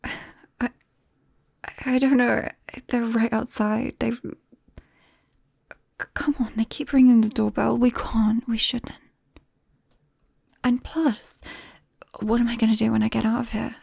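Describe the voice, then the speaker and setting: A British woman playing a shy, nervous vampire best friend in an ASMR roleplay, speaking softly and haltingly and often slipping into a whisper. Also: on the high side, fairly even intonation, no room sound, studio quality, exaggerated voice. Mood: flustered. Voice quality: soft, breathy, often dropping to a whisper. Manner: hesitant, bashful, halting.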